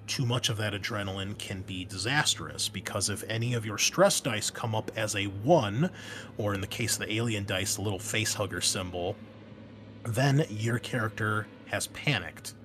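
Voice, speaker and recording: A man's voice: lowish voice, moderate pace, fairly bright tone. A man talking as a host and game master, explaining tabletop game rules informally and stressing key words. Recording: noticeable background noise.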